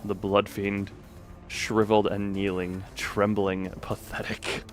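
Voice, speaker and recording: A man's voice: on the low side, level tone, slow pace, faint music behind. A man streaming a game, chatting casually and reading the story text aloud with dramatic delivery.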